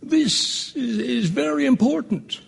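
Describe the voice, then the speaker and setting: An actor playing a gentle old man on a jury in an audio drama, speaking haltingly with stammers and a thin, husky voice. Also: very high-pitched, animated, full character voice. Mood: earnest. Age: older. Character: frail, gentle old male juror, quietly earnest. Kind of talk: stage-style audio drama acting.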